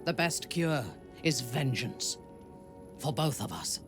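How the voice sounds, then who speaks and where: An actor playing a proud, stern woman warrior commander in a video game, formal and measured, coldly set on vengeance. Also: medium pitch, expressive. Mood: vengeful resolve. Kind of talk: video game dialogue acting. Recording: music under the voice.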